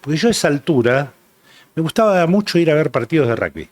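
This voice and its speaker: A man in his sixties from Argentina with a Rioplatense accent, telling stories as a guest in a relaxed interview, with many filler sounds. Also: fairly high pitch, fast talker. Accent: Rioplatense Spanish. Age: 60s. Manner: rambling, reminiscing, many "eh" fillers. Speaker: rugby journalist guest. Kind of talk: relaxed anecdotal interview chat.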